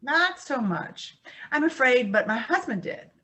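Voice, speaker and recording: A woman in a staged play reading, playing a kindly, modest old woman with a gentle, genteel manner. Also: high voice, sing-song, unhurried, full character voice. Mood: kindly, fond.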